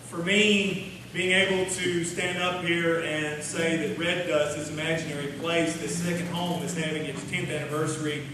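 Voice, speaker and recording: American man, stage host, homespun monologue, sincere, heavily reverberant hall, clearly noisy recording. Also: fairly high pitch. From Oklahoma, USA. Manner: sincere, homespun address to the audience. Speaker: host and performer of a live radio show. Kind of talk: folksy stage hosting, live monologue. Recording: echoey hall from far off, noisy.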